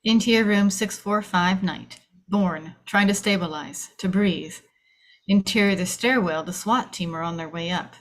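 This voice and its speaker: A woman's voice: lowish voice, measured pace. A woman reading scene headings and action aloud as narration at a screenplay table read.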